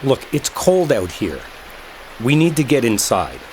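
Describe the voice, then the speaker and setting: A man's voice: medium pitch, wildly swooping pitch, unhurried, darkish tone, slight background noise. A man playing an exasperated, sarcastic, stubborn guy in a dramatic roleplay audio, talking impatiently with rain behind him. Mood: agitated, urgent. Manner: impatient, sardonic, conversational, with mild swearing. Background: rain storm ambience.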